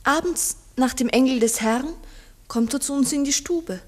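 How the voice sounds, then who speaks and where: Timid, breathy little farm schoolgirl. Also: high-pitched, moderate pace. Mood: shy, earnest. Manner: hesitant, hushed, simple short answers. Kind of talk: 1960s German radio drama acting.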